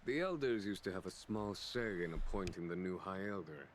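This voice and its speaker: A man playing a calm, shrewd general in a video game, speaking formally and eloquently without hurry. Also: low voice, moderate pace, slight background noise. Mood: musing, shrewd. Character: calm, shrewd male general, composed and courtly. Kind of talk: video game dialogue acting.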